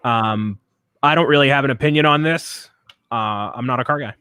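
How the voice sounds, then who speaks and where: A man's voice: medium pitch, normal speed, bright, forceful tone, clean tone, no room sound. Chatty, enthusiastic male co-host. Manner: enthusiastic, opinionated, repeats words. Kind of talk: casual chat while building.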